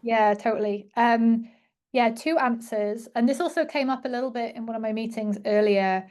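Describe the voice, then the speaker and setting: Young female academic, slightly high voice, chatty Q&A, lots of restarts. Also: normal speed, a little mellow. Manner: thinks aloud with frequent fillers and restarts. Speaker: invited speaker answering questions. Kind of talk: academic talk Q&A.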